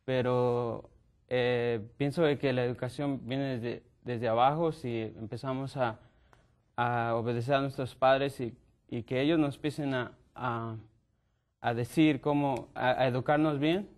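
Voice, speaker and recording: A man's voice: mid-range voice, fairly even intonation, measured pace, darkish tone. A young man from Mexico on a discussion panel, thinking aloud hesitantly and repeating words. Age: young adult.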